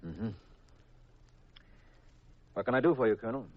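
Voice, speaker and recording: An actor with an American accent playing a confident, easygoing young private detective in a 1950s radio drama, brisk and casual. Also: male voice, medium pitch, expressive, dry recording, natural voice. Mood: self-assured. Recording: dull old radio recording.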